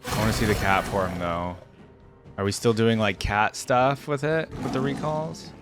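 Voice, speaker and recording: A man's voice: fairly low pitch, normal speed. A man hosting a casual reaction video, thinking aloud in a rambling way. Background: game music.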